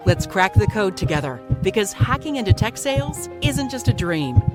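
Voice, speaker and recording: A woman's voice: mid-range voice, sing-song, moderate pace. A woman announcer reading a scripted podcast intro in an upbeat, promotional way. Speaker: show announcer. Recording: clear music bed under voice. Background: music.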